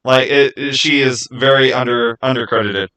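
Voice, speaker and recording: A man's voice: medium pitch, fairly even intonation, normal speed, pushed and bright, clear, resonant tone. A man hosting a casual livestream, rambling and thinking aloud as he answers viewers by name. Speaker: livestream host.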